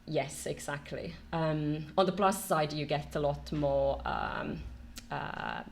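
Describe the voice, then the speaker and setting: A middle-aged woman from Finland, a company director being interviewed, speaking in a measured way with many "um"s between phrases. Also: deep voice, fairly even intonation, vocal fry, slight background noise. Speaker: marketing and e-commerce director, guest. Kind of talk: casual interview.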